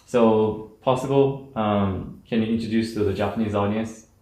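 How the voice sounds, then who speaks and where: A man's voice: lowish voice, fairly even intonation, normal speed, dark tone. A man hosting a podcast interview, polite and careful, leaning on many fillers. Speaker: podcast host. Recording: roomy echo.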